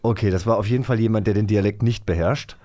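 A man's voice: lowish voice, fast talker, warm tone. A man co-hosting a podcast, chatting casually in a teasing way. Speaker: podcast co-host and actor. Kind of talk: casual chat.

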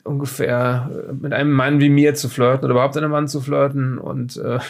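A man acts out an evasive male researcher in an improvised radio play. His mid-pitched voice has a gravelly fry, and he rambles haltingly, often backtracking as he explains himself. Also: little pitch movement, lightly acted. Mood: hesitant, explaining. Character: evasive, rambling male researcher being questioned after strange events. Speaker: player in an audio-drama let's play of a solo horror adventure.

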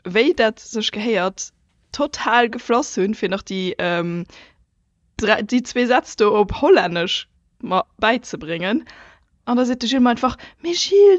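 A woman's voice: a little high, no echo, lo-fi sound. A woman with a Luxembourgish accent co-hosting a podcast in casual chat, laughing often and breaking off into restarts. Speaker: podcast co-host.

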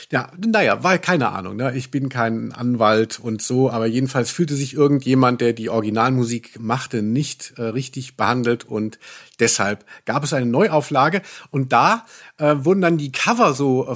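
A man of medium pitch chats casually as a podcast host. He talks in long, playful, wordy sentences and often breaks off to start a thought again. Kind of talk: casual chat about radio plays.

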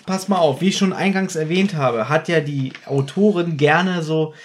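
A man's voice: on the high side, moderate pace. A man co-hosting a podcast, casually discussing an audio drama with friends in a bantering way. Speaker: podcast co-host.

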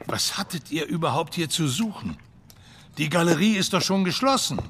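An actor playing a sober, matter-of-fact official asking questions in a children's audio drama. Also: male voice, on the high side, lively intonation, moderate pace, a bit bright, a little hiss or hum. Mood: questioning.

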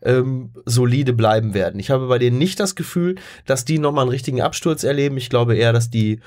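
Middle-aged man, podcast co-host, agreeable, chatty, medium pitch, casual football talk. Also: a little fast, a little mellow. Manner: agreeable, frequent "ja" backchannels.

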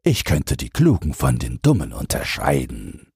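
A man's voice: a bass voice, huge pitch swings, unhurried, creaky, darkish tone, breathy edge, no echo, studio quality, pitched below the performer's own voice, exaggerated voice. A man voicing a vain, self-important emperor musing to himself in a fairy tale.

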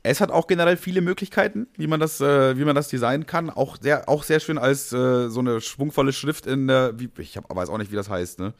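A man's voice: medium pitch, a little fast, few pauses. A young man co-hosting a podcast in casual, humorous chat, slangy and rambling. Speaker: podcast co-host.

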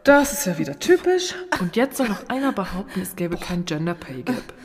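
A woman's voice: medium pitch, very animated, normal speed, in a large hall, a little hiss or hum. A woman playing a sardonic, outspoken adventurer with a colloquial manner in a fairy-tale audio drama. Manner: sarcastic, colloquial.

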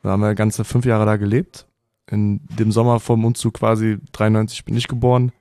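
A man around thirty, raised speaking both Danish and German, tells his story in a loose, rambling way full of little fillers. His voice is low and mellow, recorded close and dry with no room around it. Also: level tone, a little fast, no echo. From Denmark and Germany. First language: Danish and German, raised bilingual. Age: 30s. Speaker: podcast guest. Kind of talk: casual podcast conversation.

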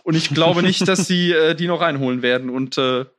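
A man chatting casually and with clear opinions as co-host of a film news show. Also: a little high, fairly quick, a bit bright.

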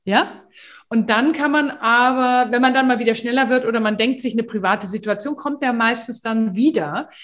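A middle-aged woman, a voice and speech coach, explaining in a lively, chatty way in a casual podcast conversation. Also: a little high, moderate pace.

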